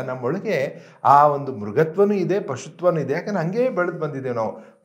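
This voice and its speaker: A man giving an emphatic, conversational motivational talk, mixing in English phrases and often checking in with listeners. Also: a little high. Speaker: motivational trainer.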